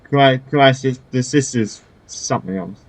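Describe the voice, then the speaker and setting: A man giving casual, off-the-cuff let's play commentary, halting and repeating his phrases as he goes. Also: medium pitch. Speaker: gaming video host.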